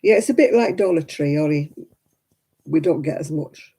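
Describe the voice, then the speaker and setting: A woman in her seventies hosting a crafting livestream, chatting homely and warmly with viewers by name and laughing often. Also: low voice, expressive, moderate pace, creaky, slightly rough. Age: 70s. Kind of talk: casual chat reading out viewer comments.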